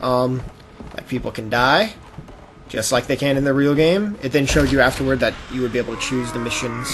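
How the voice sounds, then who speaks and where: A man's voice: mid-range voice, fairly quick. Man chatting casually over noisy game audio. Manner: rambling, explanatory, acts out imagined quotes. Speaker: gaming podcast host. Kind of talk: casual chat while playing a video game. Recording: noisy amateur podcast recording with game audio. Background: video game sound.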